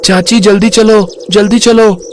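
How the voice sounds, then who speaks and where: A man's voice: high-pitched, steady tone, unhurried, background noise, pitched above the performer's own voice. A man voicing two frightened schoolchildren in a cartoon, urgent and pleading.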